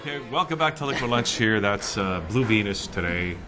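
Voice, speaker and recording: Hesitant man hosting, low voice. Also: animated, brisk, music in the background. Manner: hesitant, many "uh" fillers. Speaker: talk show host. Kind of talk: casual interview talk.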